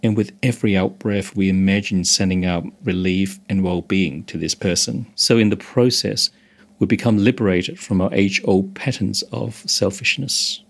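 Low-voiced meditation teacher from Hong Kong. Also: male voice, steady tone, moderate pace, gravelly. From Hong Kong, moved to Australia as a teenager. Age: 50s or older. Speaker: meditation instructor, interview guest. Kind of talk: explanatory interview talk.

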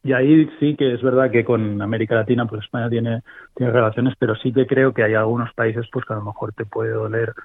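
A man's voice: mid-range voice, steady tone, a little fast, dry recording. Spanish man on a tinny remote line. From Spain. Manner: thoughtful, restarts often. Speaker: guest commentator. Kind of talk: casual interview talk. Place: remote call from abroad.

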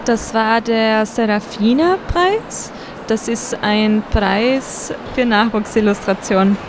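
A young woman from El Salvador speaking, answering interview questions about her illustrating in a soft, hesitant way. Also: on the high side, takes it slowly. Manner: soft-spoken, hesitant with fillers. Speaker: book illustrator guest. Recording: street noise behind.

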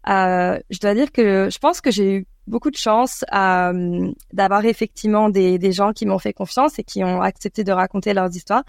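A woman from France with a French accent, answering interview questions conversationally and thoughtfully, often pausing mid-sentence. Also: medium pitch, creaky, a little mellow, dry recording, clean studio sound. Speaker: podcast creator being interviewed.

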